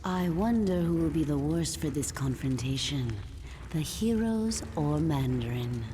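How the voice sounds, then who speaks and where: An actress playing a lovelorn, villainous enchantress in a video game, languid and haughty. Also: female voice, deep voice, animated, unhurried, mellow, in a large hall, slight background noise. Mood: wistful, haughty.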